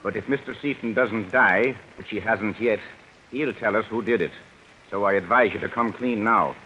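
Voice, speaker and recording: Forceful, low-voiced American police captain. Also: male voice. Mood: stern, impatient. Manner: brisk, authoritative, clipped questioning. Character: hard-pressed, forceful police captain grilling suspects. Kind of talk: old-time radio suspense acting. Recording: muffled vintage radio, faint rain noise.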